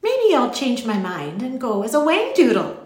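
Woman as a cheerful, high-voiced little girl, echoey. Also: big pitch swings, brisk. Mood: bright, proud. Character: cheerful, chatty, candid seven-year-old girl. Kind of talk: audiobook character voice.

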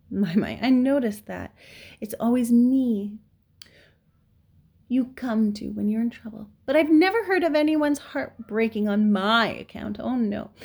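A sour old storybook rat, played by a woman, sarcastic and self-pitying. He grumbles and mocks in a creaky, drawn-out voice. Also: fairly high pitch, a little mellow. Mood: mocking self-pity. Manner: growling, mocking, complaining.

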